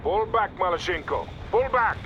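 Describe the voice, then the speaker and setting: Loud battle noise surrounds a stern Soviet field commander in a war game. His voice sits fairly high for a man, with a Russian accent. He gives clipped, formal orders and stays composed and in charge. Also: expressive, moderate pace, lo-fi sound. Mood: urgent orders. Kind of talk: scripted video game military radio dialogue. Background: artillery fire, explosions and gunfire.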